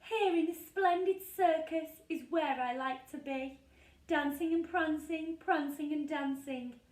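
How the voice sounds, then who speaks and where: Storybook rocking horse, male, wistful and rhyming, played by a woman; high, unhurried, roomy sound. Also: a little mellow. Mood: happy, proud. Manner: sing-song rhyming delivery.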